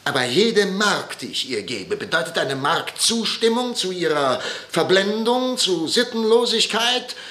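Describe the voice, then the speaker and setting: A man's voice: high-pitched, sing-song, measured pace, few pauses, sharp tone, exaggerated voice. An actor playing a stern, proud, middle-aged father in a radio play, speaking in a clipped, formal, indignant way. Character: stern proud older father, head of household. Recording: roomy echo.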